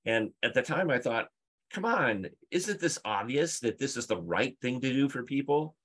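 A man's voice: mid-range voice, sing-song, normal speed, a bit bright. A middle-aged man presenting on a video call, explaining emphatically through stories and rhetorical questions. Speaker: conference speaker, UX leader. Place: book-lined room at home, indoors.

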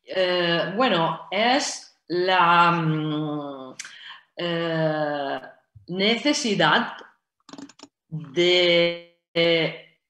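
Casting note: Italian woman, forties, unhurried, halting delivery, roomy echo on a video call. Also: on the low side, a bit bright. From Italy. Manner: slow, halting, many fillers and restarts, gestures with hands. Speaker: guest speaker. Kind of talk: online conference Q&A. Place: indoor room at home. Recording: echoey video call audio.

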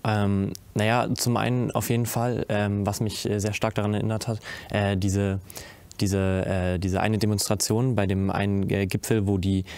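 A young man speaks intensely on a studio panel, stumbling over restarts and fillers. His voice is lowish and monotone, recorded dry and close. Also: normal speed, vocal fry, smooth, no room sound. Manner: intense, many hesitations and restarts. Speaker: youth panelist. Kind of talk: panel discussion. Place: indoor studio set with curtains.

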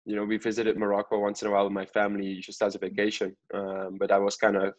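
Man in his twenties, low voice, flat intonation, from Belgium, casual interview answers, hedging phrases. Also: fast. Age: 20s. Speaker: professional soccer player, podcast guest. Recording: echoey room, dull lo-fi sound.

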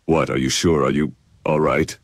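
A man playing a steady, caring, protective fantasy hero, speaking earnestly in intimate video game dialogue. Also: extremely low pitch, moderate pace, no echo.

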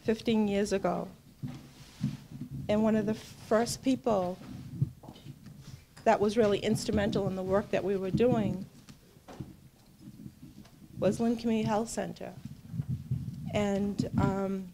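A woman at a podium introduces each speaker in a slow, halting, heartfelt way. Clear background noise from the hall runs under her voice. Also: medium pitch, steady tone. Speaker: event organizer and emcee. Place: large community function room. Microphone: podium microphone.

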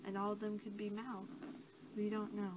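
A young woman doing soft-spoken ASMR roleplay as a scientist, talking in a casual, rambling, hesitant way. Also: mid-range voice, completely flat delivery, normal speed. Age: young adult. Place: small cluttered room indoors. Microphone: camera mic at about arm's length. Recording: clearly noisy amateur camera audio.

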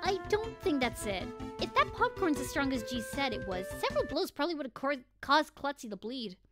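A woman voicing earnest, puzzled young cartoon penguin detectives, reading game dialogue in an expressive, inquisitive way with music behind. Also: sits high, fairly quick, voice pushed up. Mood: earnest, thoughtful.

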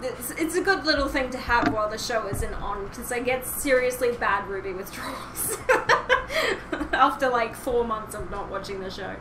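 A woman's voice: fairly high pitch, big pitch swings, moderate pace. A young woman hosting a podcast as a casual group chat, with a giggly manner. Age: young adult. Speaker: podcast host. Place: home room with shelves and posters, indoors. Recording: roomy, noisy home recording.

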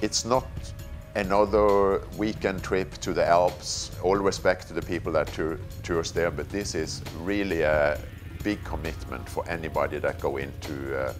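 Deep-voiced man, tour leader. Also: fairly even intonation, soft background music. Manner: measured, with frequent "uh" pauses. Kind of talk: documentary interview.

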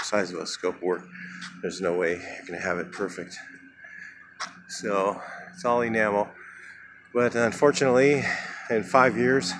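A man with a fairly low voice gives a rambling, off-the-cuff commentary while walking outside a building, filmed on a handheld camera. Passing traffic and street noise sit clearly behind him. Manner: rambling, thinking aloud, trailing off. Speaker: house painter filming a walkthrough. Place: outdoors beside a large building.

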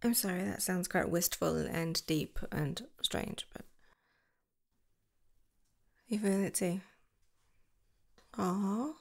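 A young woman doing a girlfriend roleplay to the listener, soft and affectionate, giggling and slipping into whispers. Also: lowish voice, slow pace, vocal fry, pauses often. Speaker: ASMR roleplay creator.

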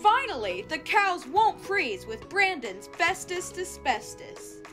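Lady doing a naive eager farm customer, really high, swoopy, old radio ad. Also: very animated, slow pace, fairly bright tone, soft background music, full character voice. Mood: eager, wondering. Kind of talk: old-time radio ad acting.

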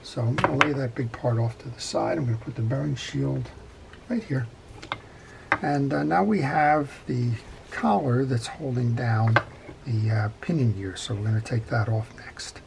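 Guy doing a step by step repair how-to, lots of vocal fry, noisy workbench recording with clicks. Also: medium pitch, normal speed. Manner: methodical, plain-spoken explaining. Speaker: fishing reel repair instructor. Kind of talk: hands-on tutorial commentary, unscripted. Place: indoor workbench.

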